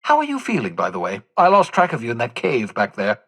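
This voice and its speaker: An actor playing an eccentric, jittery older fugitive scientist in a video game, speaking in a theatrical, wordy, wry way with hesitant asides. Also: male voice, medium pitch, wildly swooping pitch, a little fast, a bit bright, slightly rough, full character voice. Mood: breezy, candid. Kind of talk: video game character dialogue.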